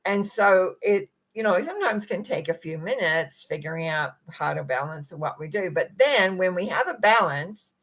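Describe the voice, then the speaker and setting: A middle-aged woman with a croaky, husky voice gives a gentle, meandering spiritual talk and often clears her throat. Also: on the low side, expressive, normal speed, hardly any pauses. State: croaky, rough voice. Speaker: spiritual teacher. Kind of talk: reflective talk to viewers.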